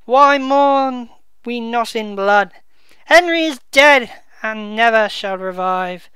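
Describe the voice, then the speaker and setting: A woman reading the part of a grieving noble duke at a king's funeral, declaiming verse slowly and loudly in her own voice. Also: on the high side, clean tone, no room sound, pitched above the performer's own voice. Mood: bitter. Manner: slow, loud, declaiming verse.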